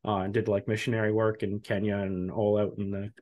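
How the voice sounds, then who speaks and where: A man's voice: on the low side, little pitch movement, a little fast, a little mellow. A man, hosting a casual interview over a video call. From Canada. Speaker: podcast host. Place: small room, on a video call. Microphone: video call, close to camera.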